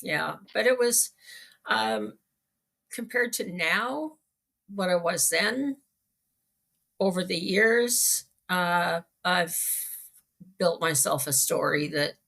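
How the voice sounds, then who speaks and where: Slow, creaky middle-aged Canadian woman. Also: on the low side, very animated, a bit bright. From small-town Manitoba, Canada. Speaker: interview guest. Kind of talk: casual personal storytelling.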